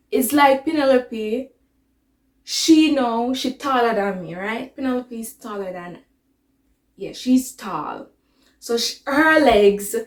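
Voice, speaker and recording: Jamaican lady doing a storytime vlog, high pitched, takes her time, drops into patois, echoey room. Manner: dramatic retelling that slips into patois. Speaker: vlogger telling a personal story. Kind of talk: casual animated storytelling.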